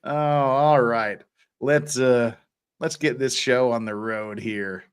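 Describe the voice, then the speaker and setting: A man's voice: mid-range voice, steady tone, slow, clean tone. A man in his fifties hosting a livestream, chatting casually and reading out viewer comments in a rambling, jokey, giggly way. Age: 50s. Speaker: livestream host.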